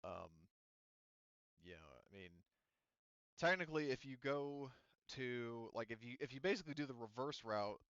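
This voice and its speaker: A man's voice: mid-range voice, animated, normal speed, long pauses, a bit bright, smooth, no room sound, highs cut off. A man running a tabletop roleplaying game as game master, talking casually and thinking aloud in a rambling way.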